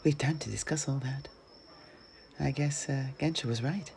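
Sly, friendly halfling, done by a male storyteller: raised pitch, light teasing delivery, fantasy storytelling. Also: moderate pace, creaky, darkish tone, a little hiss or hum, lightly acted. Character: cheerful, sly halfling man, old friend. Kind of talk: dramatic storytelling.

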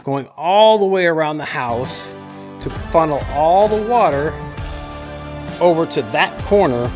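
A man in his fifties giving a casual, plain-spoken instructional walkthrough as a vlog host, recorded outdoors on a handheld camera. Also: fairly high pitch. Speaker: vlog host showing a home build. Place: outdoors at a concrete foundation construction site. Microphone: handheld camera at arm's length.

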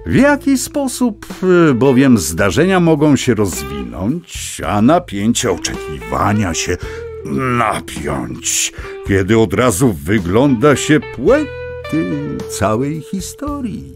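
Pompous whimsical storybook narrator, super slow n rambly, pitch goes all over, bit of music behind. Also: male voice, huge pitch swings, heavily acted. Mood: wry, musing. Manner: pompous, digressive, savoring each word. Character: whimsical, wordy old-fashioned storyteller of a fable.

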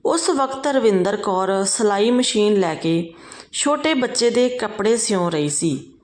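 A woman's voice: mid-range voice, expressive, unhurried. A woman reads a scripted story as a storyteller.

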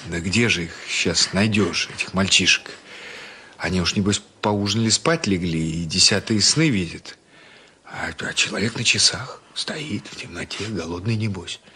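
A man playing a kind, concerned young man, narrating a children's story in an expressive, conversational way. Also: deep voice, normal speed, a little hiss or hum. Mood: thoughtful, concerned. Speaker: narrator and lead actor.

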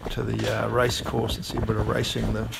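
A man, narrating his sailing trip in a relaxed, plain-spoken voice-over. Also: lowish voice, a little fast, gravelly, very noisy. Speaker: sailing vlogger.

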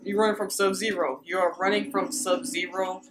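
A woman in her thirties hosting a loud movie reaction at home, exclaiming and shouting at the screen. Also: lowish voice, steady tone, normal speed, background noise.

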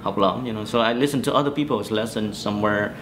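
A man in his forties from Vietnam with a Vietnamese accent, recounting memories in a halting, hesitant way as he searches for words in an interview. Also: lowish voice, noisy background. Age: 40s. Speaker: interviewee, musician. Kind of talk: oral history interview, personal recollection. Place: small bedroom, indoors.

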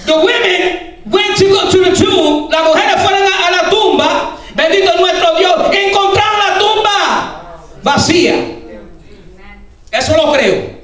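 Older guy pastor shouting his sermon into a handheld mic, tons of hallelujahs, super echoey church. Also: very high voice, lively intonation, takes it slowly. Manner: fervent preaching, often shouting, peppered with hallelujahs; gestures broadly while preaching at a lectern. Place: church sanctuary, indoors, medium-sized. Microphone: handheld mic, filmed by a far camera. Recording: very echoey church hall, distant camera.

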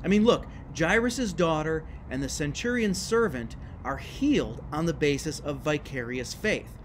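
Lively, emphatic middle-aged man teaching. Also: a little high, normal speed, slight background noise. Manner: emphatic, lively, gesturing with his hands. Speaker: church history teacher. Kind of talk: scripted video lesson to camera. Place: screened porch, outdoors.

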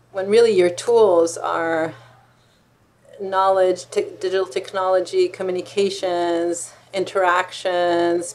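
A woman's voice: on the low side, darkish tone. A middle-aged woman from Israel, a law professor and author, explaining her thoughts conversationally in an interview on a video call. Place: office, indoors, on video call. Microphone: video call computer microphone.

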